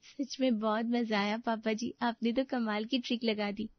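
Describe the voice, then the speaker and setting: A woman's voice: a little high, steady tone, normal speed, no echo, highs cut off, natural voice. A woman voicing an outspoken young daughter-in-law, teasing and sarcastic, in a cartoon story. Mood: playful. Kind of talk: cartoon moral story voice acting.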